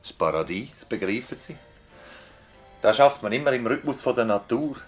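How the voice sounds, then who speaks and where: An actor playing an authoritative public prosecutor with a Swiss German accent, brisk and formal, in a radio drama. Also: male voice, mid-range voice, animated, soft background music, natural voice. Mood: musing. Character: authoritative male public prosecutor questioning village witnesses. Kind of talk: radio drama acting in dialect.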